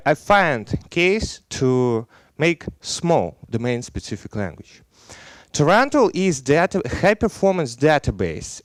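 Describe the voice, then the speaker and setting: A man in his thirties from Belarus with a Belarusian accent, giving a technical conference talk in non-native English, halting and full of fillers, on a headset mic on stage. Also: fairly high pitch, smooth, dry recording. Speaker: conference speaker.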